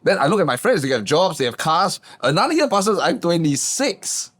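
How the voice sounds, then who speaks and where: A man, a guest business coach telling his backstory in a lively, emphatic way. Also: normal speed. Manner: animated, emphatic, swings pitch up for punchlines. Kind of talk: casual interview storytelling.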